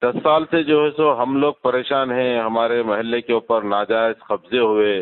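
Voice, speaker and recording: A man's voice: mid-range voice, level tone, moderate pace, smooth. Accusing man on a phone line. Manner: accusing, persistent, talks over the other man. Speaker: caller. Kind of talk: heated phone argument. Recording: tinny phone call recording.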